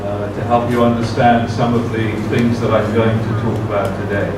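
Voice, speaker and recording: A man's voice: fairly low pitch, little pitch movement. A man giving a formal talk as guest speaker at a university seminar, speaking carefully with many "uh" fillers. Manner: measured, careful delivery with frequent "uh" fillers. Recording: very echoey room, distant mic, background noise.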